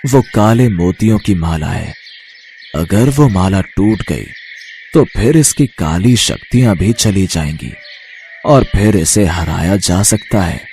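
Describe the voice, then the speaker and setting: A man playing an elderly guru in a storybook tale, calm, fatherly and brave, speaking in a measured, gently commanding way. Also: low-pitched, slight background noise, exaggerated voice. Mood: solemn, urging. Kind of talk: animated storybook character acting.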